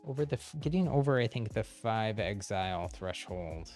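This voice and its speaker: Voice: medium pitch, animated, moderate pace, a little mellow. A game streamer giving casual, chatty live gameplay commentary, thinking aloud while playing. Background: game music.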